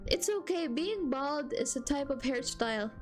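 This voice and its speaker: A young Filipino woman with a high, bright-pitched voice and a Filipino accent, talking casually to her live chat. She rambles, teases, and giggles easily, while soft music plays quietly behind her. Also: lively intonation, moderate pace. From Philippines. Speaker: streamer.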